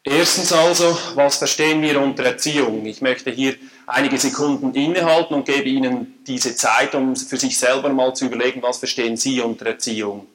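A man with a Swiss German accent giving a formal lecture at a conference, measured and dryly humorous. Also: mid-range voice, a bit bright. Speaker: conference speaker. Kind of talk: academic lecture. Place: lecture hall.

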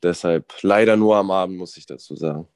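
A man, a guest talking casually while nursing a cold, relaxed and hesitant. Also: low-pitched, a little fast, clear, resonant tone, no echo, clean studio sound. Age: 20s. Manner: relaxed, hesitant, lots of fillers. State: has a cold. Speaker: guest, ice hockey goalie.

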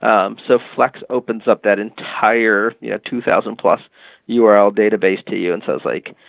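Brief: low-voiced gravelly male guest, halting and filler-heavy, tinny dry phone-quality line. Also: steady tone, no room sound. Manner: hesitant, lots of "you know" and "um" fillers. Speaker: guest, music marketing tech company. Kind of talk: casual podcast interview. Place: Boston. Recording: dry, phone-like narrow band.

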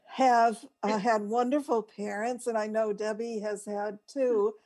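Older female co-host, very leisurely delivery, higher-than-average pitch, chatty storyteller who wanders off track. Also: level tone, measured pace, a little mellow. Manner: rambling, easily sidetracked. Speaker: radio show co-host. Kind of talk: casual chat and storytelling.

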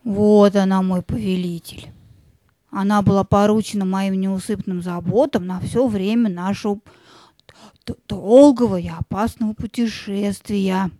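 A woman's voice: medium pitch, fairly even intonation, unhurried, mellow, dry recording, close to an everyday voice. A woman playing a solemn, loyal spirit of bread in an audio play, speaking pompously and ceremoniously.

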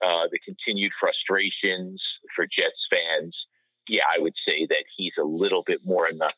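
A man co-hosting a sports podcast, discussing things casually in a measured, conversational manner. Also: sits low, little pitch movement, dry recording. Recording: slightly dull lo-fi audio.

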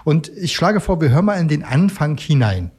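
Guy hosting radio show, highish slightly rough voice, casual chat. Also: very animated, moderate pace, darkish tone. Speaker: radio magazine host.

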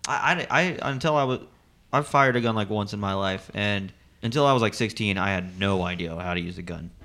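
A man's voice: fairly low pitch, a little fast, sharp tone. A man in casual podcast banter, candid and profane, joking darkly.

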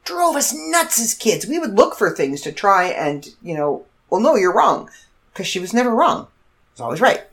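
A woman's voice: medium pitch, very animated, normal speed, fairly bright tone. A congested woman in her fifties, hosting a casual solo podcast and rambling through stories with self-corrections. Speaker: podcast host.

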